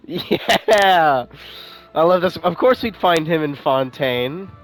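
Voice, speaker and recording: A man streaming a game, giving casual, slangy and excitable commentary. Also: a little high, slow. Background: faint game music.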